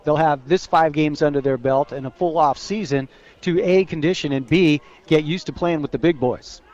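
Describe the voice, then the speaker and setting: A man's voice: fairly high pitch, normal speed, a little mellow. An American man giving analytical color commentary on a live radio football broadcast, explaining things in a conversational, folksy way. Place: outdoors at a football stadium. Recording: slightly dull radio broadcast audio.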